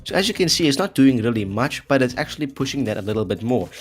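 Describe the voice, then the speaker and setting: A man's voice: medium pitch, very animated, quick pace. A man hosting an audio effects tutorial in a casual, chatty, jokey way, talking informally to viewers. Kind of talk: casual scripted tutorial narration.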